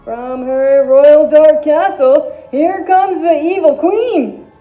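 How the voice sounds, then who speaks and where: Woman playing a high-voiced, sly hungry wolf posing as granny. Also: takes it slowly, highs cut off. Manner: theatrical, wry, droll. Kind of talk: scripted fashion show commentary, fairy tale skit. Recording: roomy echo, music behind.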